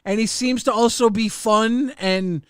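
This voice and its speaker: A man hosting a casual livestream podcast, rambling and halting, swearing and reading out chat messages. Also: sits high, fairly even intonation, fairly bright tone, clear, resonant tone, no room sound, studio quality.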